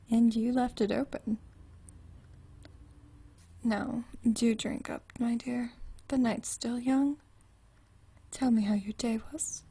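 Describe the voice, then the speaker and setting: A woman playing an ageless, genteel female vampire in an ASMR roleplay, soft and breathy, teasing her friend with languid, dry wit. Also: a little high, heavily acted. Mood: teasing, relaxed. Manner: teasing, dryly witty, languid, genteel turns of phrase. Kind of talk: ASMR roleplay, intimate one-sided conversation.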